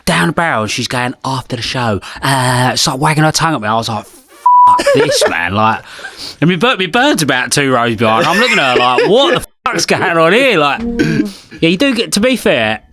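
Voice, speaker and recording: A man, a musician guest telling stories in a lively way, with casual swearing. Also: a little high, normal speed. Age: 30s. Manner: animated storyteller, swearing. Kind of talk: casual interview chat. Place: pub.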